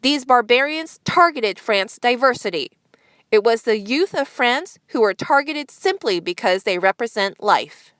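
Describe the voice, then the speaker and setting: A woman's voice: on the high side, animated, a little slow, a bit bright, no echo. A woman news presenter reading a scripted news bulletin.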